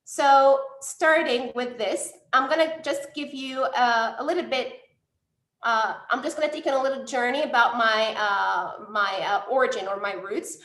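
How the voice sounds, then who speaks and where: High-voiced woman presenting in an echoey room. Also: steady tone, moderate pace, a bit bright. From Tunisia. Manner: hesitant, many fillers and restarts. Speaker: academic lab director giving a talk. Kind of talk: conference presentation.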